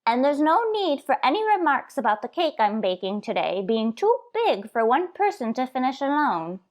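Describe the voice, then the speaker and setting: A woman's voice: sits high, big pitch swings, normal speed. A woman playing a coy, lovestruck elderly landlady in an old-time radio sitcom, prim and protesting. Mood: prim, hinting.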